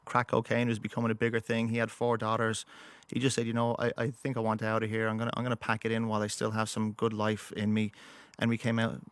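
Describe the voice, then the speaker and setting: Interview guest, male, Irish-American accent, chatty and halting, dry recording. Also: fairly low pitch, completely flat delivery, clean tone, dry recording. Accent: mixed Irish and American. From United States, moved to Ireland. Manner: rambling, many restarts and fillers. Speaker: guest, musician. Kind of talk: casual interview storytelling.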